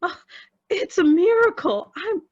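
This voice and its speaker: An actress playing a warm-hearted, emotional woman in a radio play. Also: extremely high pitch, expressive, unhurried, lo-fi sound. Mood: overjoyed, tearful.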